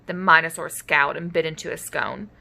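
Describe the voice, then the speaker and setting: A woman hosting story time, reading a children's picture book aloud in an animated storytelling style. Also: low-pitched, brisk, creaky.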